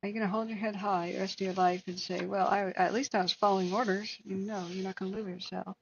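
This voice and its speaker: A woman hosting a wildfire tracking livestream, hoarse from hours of talking, speaking earnestly and answering viewers by name. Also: mid-range voice, flat delivery, fast, muffled recording, a little hiss or hum. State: hoarse, losing her voice. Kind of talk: casual livestream chat.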